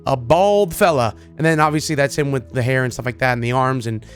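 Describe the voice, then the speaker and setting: A man giving casual, excitable reaction commentary as a gaming video host, with slangy, repetitive chat. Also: medium pitch, fairly quick. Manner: chatty, excitable, slangy, repeats himself. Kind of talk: casual reaction commentary to game images and clips. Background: background music.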